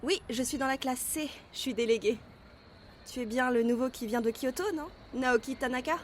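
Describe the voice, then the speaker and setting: A woman's voice: sits high, moderate pace, slight background noise, natural voice. A woman playing a friendly teenage girl. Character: cheerful mysterious teenage girl, class delegate. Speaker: lead character. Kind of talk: fan audio drama acting. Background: music.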